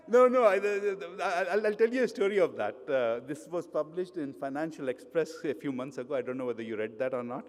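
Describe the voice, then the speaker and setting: A man's voice: a little high, fairly quick. Indian man speaking in a reverberant hall. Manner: conversational, digressive, many fillers and restarts. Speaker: conference speaker. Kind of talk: conference presentation. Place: hotel conference hall.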